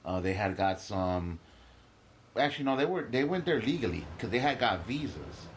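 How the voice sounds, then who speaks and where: A young man guesting as a comedian on a talk show, speaking casually, emphatic and profane, with sudden loud excited jumps. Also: fairly low pitch, moderate pace, slight background noise. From Los Angeles, United States.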